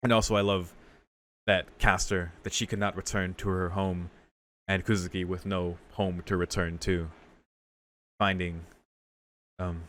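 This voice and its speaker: A man's voice: deep voice, level tone, measured pace, fairly bright tone, a little hiss or hum. A man doing a casual, reflective let's play of a visual novel, commenting and reading the text aloud. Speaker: streamer playing a visual novel. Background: game music.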